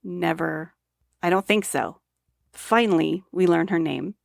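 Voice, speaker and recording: A woman's voice: lowish voice, unhurried, creaky, dry recording, studio quality. A woman co-hosting a podcast, recapping a movie in casual chat with wry remarks. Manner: chatty, wry remarks, agreeing often. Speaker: podcast co-host.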